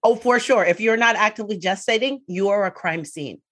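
A woman's voice: mid-range voice, brisk, a bit bright. A woman co-hosting a podcast, emphatic and wry, opening the show and introducing a guest. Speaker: podcast co-host. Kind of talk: casual chat, introducing a guest.

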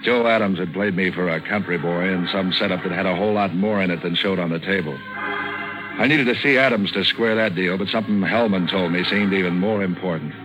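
Tough, cynical American gumshoe male actor, low register, 1940s radio noir delivery over music. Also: brisk, highs cut off, close to an everyday voice. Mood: weary, wry. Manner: hard-boiled, slangy, deadpan. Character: tough wisecracking male private eye, cynical. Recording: vintage 1940s radio broadcast, music behind.

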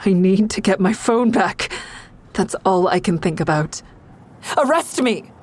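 A woman's voice: mid-range voice, expressive, measured pace. An actress playing a defiant, distraught young woman who narrates her own story in an audio drama. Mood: anxious pleading, then furious, desperate. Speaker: narrator and lead character.

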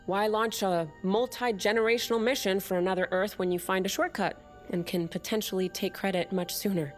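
An actress playing a defiant, cynical leader of an underground separatist movement in an audio drama. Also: female voice, medium pitch, steady tone, fairly quick, soft background music, lightly acted. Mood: earnest, ideological.

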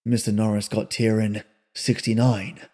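A man reading aloud and voicing a sullen, weary young drifter who speaks in a low, rustic way. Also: level tone, normal speed, warm tone, lightly acted. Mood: weary, somber. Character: sullen young male drifter, weary beyond his years.

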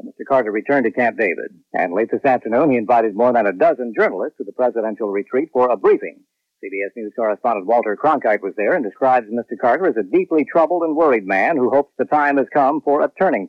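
An American man anchors a radio newscast at a quick clip, reading from a script. The sound is close and dry, slightly dulled like an old broadcast. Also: medium pitch, no room sound, dull audio. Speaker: network radio news anchor.

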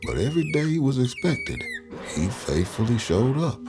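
A man's voice: medium pitch, sing-song, normal speed, lightly acted. An actor playing a grave storyteller with a Southern US accent, recounting his past in an audio drama. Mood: reflective. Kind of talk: first-person audio drama narration. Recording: music behind the voice.

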